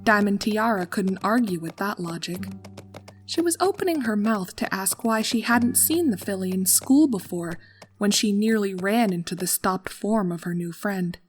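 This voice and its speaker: A woman reading a horror story aloud in a calm, steady narrating voice. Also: medium pitch, moderate pace.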